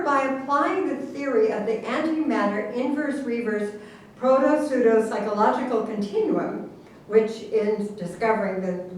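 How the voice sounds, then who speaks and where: A woman in a staged reading playing an earnest, pompous government official who lectures with deliberate emphasis. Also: a little high, close to an everyday voice. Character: government official earnestly explaining absurd secret science. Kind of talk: theatrical staged reading of a satirical play. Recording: very echoey room, distant mic, faint noise.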